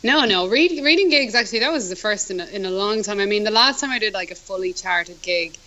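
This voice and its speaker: A woman's voice: medium pitch, expressive, quick pace, sharp tone, dull audio. A woman with an Irish accent, a musician being interviewed, chatting casually, laughing often and acting out little quotes. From Ireland. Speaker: guest musician.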